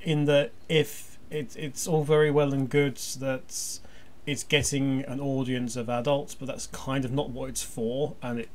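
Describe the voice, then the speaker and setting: A man chatting as a podcast panelist, halting and repeating words as he works out his questions. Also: mid-range voice, flat delivery. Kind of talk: casual discussion.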